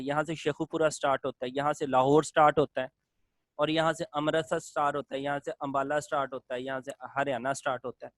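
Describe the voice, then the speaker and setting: A man's voice: fairly high pitch, fairly bright tone, no room sound. A male lecturer explains a topic in a classroom style, repeating phrases in long lists and mixing in English terms.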